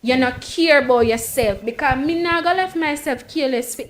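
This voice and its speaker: Woman, late twenties, high voice, emphatic, repetitive, casual debate. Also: fairly quick, a little hiss or hum. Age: late 20s. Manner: emphatic, storytelling, repeats herself. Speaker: panel guest.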